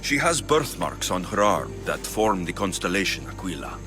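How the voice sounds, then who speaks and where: A blunt young Spartan mercenary in a video game, his voice low and even. He speaks in short, dry, matter-of-fact lines. A loud score swells behind him. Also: moderate pace, music in the background. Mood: guarded. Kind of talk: video game cutscene acting.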